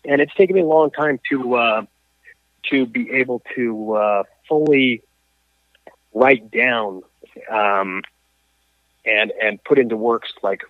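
A man of average pitch talking as a guest over a tinny, phone-like line. He tells his story in a halting way, restarting sentences and filling pauses with "uh". The sound is dry with no room. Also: no echo. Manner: halting, many restarts and fillers. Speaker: guest, coach and athlete. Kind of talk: conversational storytelling in an interview. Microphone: phone or call line.

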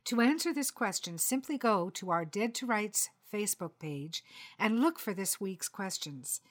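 A woman presenting and interviewing as a podcast host, speaking in a measured, articulate and polite way. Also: mid-range voice, lively intonation.

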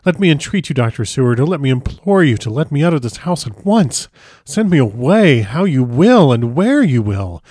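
A man's voice: medium pitch, big pitch swings, normal speed, hardly any pauses, darkish tone, no echo. Man acting a desperately pleading asylum patient, dry sound. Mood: imploring. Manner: imploring, emphatic, educated and formal phrasing. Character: frantic male asylum patient pleading desperately, well-bred. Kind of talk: dramatic audiobook character reading.

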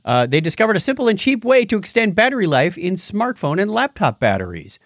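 Animated male podcast host, dry close sound. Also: sits high, fairly quick, dry recording. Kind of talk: casual news banter on a live-streamed podcast.

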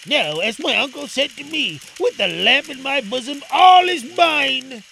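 A man's voice: very high-pitched, expressive, measured pace, creaky, bright, forceful tone, slight background noise, voice pushed up, heavily acted. A man voicing an obstinate, earnest boy who speaks in archaic storybook language. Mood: delighted. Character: obstinate, boyish young lad, pleading and breathless.

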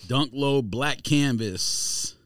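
A man's voice: mid-range voice, drawn-out words, a bit bright, dry recording, clean studio sound. A man chatting casually with friends on a sneaker podcast, slangy and teasing. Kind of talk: casual banter among friends about sneakers. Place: close-miked dry podcast studio.

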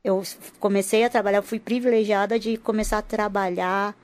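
A woman's voice: mid-range voice, steady tone, fairly quick. A woman from Rio de Janeiro, a podcast guest, talking casually with many restarts and laughs. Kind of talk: casual chat.

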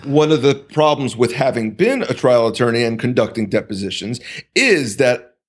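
A man speaking as a podcast guest, talking in a deliberate, halting way with many pauses and restarts. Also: mid-range voice, lively intonation, breathy edge. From United States. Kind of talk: casual conversational interview.

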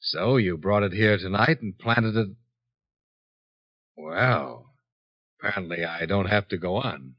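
An actor playing a shrewd, unhurried insurance investigator in a 1950s radio drama, with a dry, matter-of-fact delivery. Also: male voice, low voice, expressive. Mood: coolly accusing. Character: cool, shrewd male insurance investigator, hard-boiled and unhurried. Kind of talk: 1950s radio detective acting. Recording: dull 1950s radio broadcast recording.

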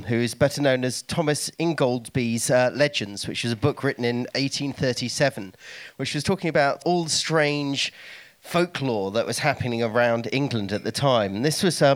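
A man speaking as a guest, giving an informative, explanatory talk. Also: medium pitch. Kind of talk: informative talk with dramatic readings.

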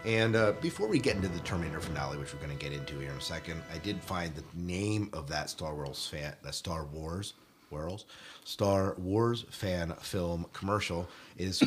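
A man's voice: sits low, expressive, moderate pace, faint music behind. A man hosting a podcast, chatting casually through news in a conversational, joking manner. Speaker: podcast host.

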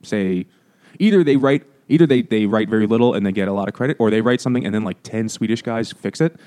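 A man's voice: on the low side, quick pace, warm tone. A man co-hosting a casual book and beer podcast, rambling, swearing and laughing often. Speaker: podcast co-host. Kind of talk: casual chat over beers.

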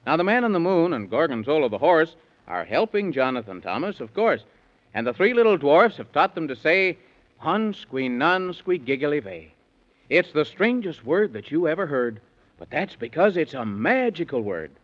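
A man's voice: on the high side, sing-song, moderate pace. A man narrating a rhyming children's tale in a theatrical, sing-song manner, in 1930s radio style. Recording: muffled 1930s radio recording.

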